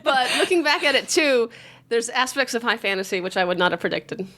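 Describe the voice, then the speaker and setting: A woman's voice: on the high side, lively intonation, fairly quick, a bit bright. A giggly woman in her forties chatting and reading aloud casually as a podcast host, poking fun at herself. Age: 40s. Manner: giggly, self-mocking. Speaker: podcast host, amateur author reading her own story.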